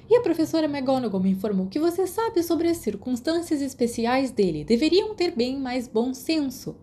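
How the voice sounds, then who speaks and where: A woman's voice: high-pitched, warm tone, a little hiss or hum, pitched above the performer's own voice. A woman voicing a strict, scolding older woman who works as a school nurse in an audiobook. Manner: stern, fussing, reproachful. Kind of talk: audiobook character voice.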